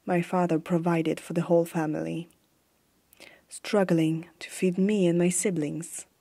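Woman as fantasy warrior empress, ASMR roleplay, stern and zealous, mellow breathy voice, hushed dramatic delivery. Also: on the low side, flat delivery, a little slow, full character voice. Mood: grave, bitter.

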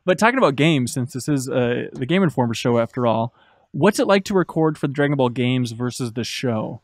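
A man's voice: mid-range voice, animated, fairly quick, no room sound. A man in his thirties interviewing a guest casually as a podcast host, close to a studio microphone. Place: indoor podcast studio with brick wall and shelves. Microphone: desk microphone up close.

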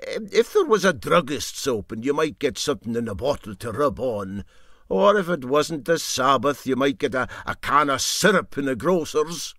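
A man voicing a sly, teasing old boat skipper with a Scottish Highland accent and a drawn-out, mock-sympathetic manner in a storybook reading. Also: expressive, fairly bright tone, dry recording, studio quality, natural voice. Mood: sly mock sympathy.

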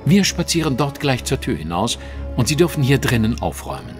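An actor playing a confident, sardonic Victorian detective in a German audio drama, polite, measured and ironic. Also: male voice, mid-range voice, very animated, over music, lightly acted. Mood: calm, menacing.

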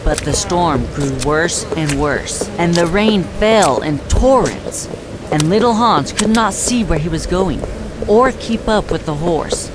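A man playing a mild songbird who narrates a storybook tale in a measured, gentle way. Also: fairly high pitch, animated, background noise. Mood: solemn storytelling.

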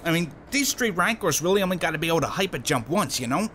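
A man's voice: fairly high pitch, expressive, fast, fairly bright tone, slight background noise. A man in an audio drama playing a cocky, eccentric starship engineer, chatty, slangy and rambling. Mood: excited, breezy.

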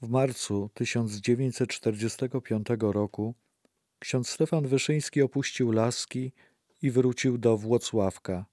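A man narrates a historical audio play. His voice is mellow and medium in pitch, captured in a dry space. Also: a little slow, dry recording.

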